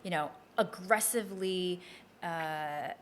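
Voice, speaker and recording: A woman's voice: fairly low pitch, takes it slowly, a bit bright, slight background noise. A middle-aged woman from Taiwan and Hong Kong talking casually and reflectively as an interview guest. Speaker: podcast guest, entrepreneur.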